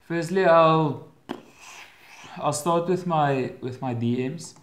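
A young man in his twenties with an Afrikaans accent, answering viewer questions in a casual home vlog, often pausing and restarting his phrases. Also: a little high, roomy sound. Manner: hesitant, restarts phrases with many fillers. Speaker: vlogger. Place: bedroom at home, indoors. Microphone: webcam at desk.

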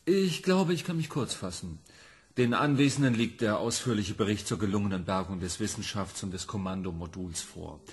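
A man's voice: on the low side, expressive, normal speed, breathy edge. An actor playing a businesslike male section head reporting to a commission in a radio play. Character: matter-of-fact male section head Dr. Hansen.

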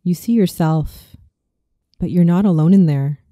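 Very mellow, dark-voiced meditation guide in their thirties. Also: mid-range voice, dry recording, clean studio sound. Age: 30s. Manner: soothing, slow, deliberate meditation delivery. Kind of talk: scripted guided visualization.

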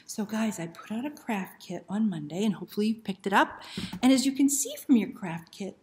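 A woman's voice: fairly low pitch, darkish tone, a little hiss or hum. A woman in her fifties hosting story time, reading aloud and chatting to young children with questions and simple instructions. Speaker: library story time host. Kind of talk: children's picture book read-aloud and chat. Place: small indoor room. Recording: roomy indoor echo.

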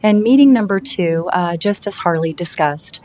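A woman with a scratchy, hoarse voice from the flu, giving a polite, formal presentation to a school board in a large meeting room. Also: fairly low pitch, lively intonation, normal speed, darkish tone. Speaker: district staff member presenting to a school board.

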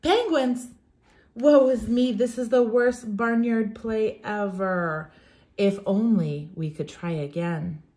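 A woman's voice: medium pitch, slow, dark tone. A young woman teacher reading aloud for young children in an emphatic, theatrical, sing-song way.

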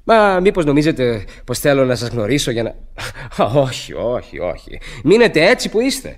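An actor playing a young, romantic student who flatters a lady in courtly, theatrical style, in a radio play. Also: medium pitch. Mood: gallant, curious. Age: young adult. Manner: theatrical, courtly, emphatic repetitions. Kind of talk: stage-style Greek radio theatre acting.

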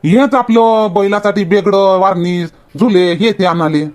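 A man's voice: high voice, unhurried, darkish tone. A man voicing a folksy, talkative village man in a comedy cartoon, bantering colloquially with a Varhadi Marathi accent. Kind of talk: homemade comedy cartoon dialogue.

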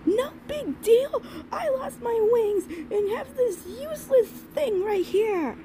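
A woman's voice: very high-pitched, expressive, slow, mellow, a little hiss or hum, exaggerated voice. A woman voicing a brash, hot-tempered little filly in an amateur cartoon dub. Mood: upset.

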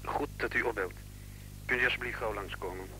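Guarded doctor over the telephone. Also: male voice, lowish voice, a little fast, pushed and bright, poor audio. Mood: guarded, urgent. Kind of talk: radio drama acting. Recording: old radio play, telephone, faint noise.

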